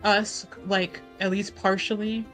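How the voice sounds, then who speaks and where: A woman's voice: medium pitch, little pitch movement, a little slow, fairly bright tone, soft background music. A woman playing a tabletop roleplaying game, talking casually as she improvises her character's actions.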